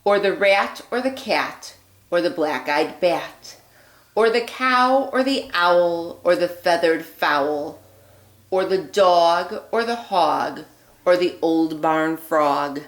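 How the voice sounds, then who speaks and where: A woman teacher reading a children's picture book aloud, phrasing deliberately and expressively with emphasis on the rhymes. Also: lowish voice, lively intonation. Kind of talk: read-aloud storytelling for young children. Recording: echoey room.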